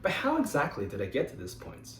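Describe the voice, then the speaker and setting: Man, lively delivery, speaking in an echoey meeting room a distance from the mic. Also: medium pitch, a little hiss or hum. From Australia. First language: Mandarin Chinese. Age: 20s. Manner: expressive storytelling with dramatic pauses. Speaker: club member giving a speech. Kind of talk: prepared speech to a club.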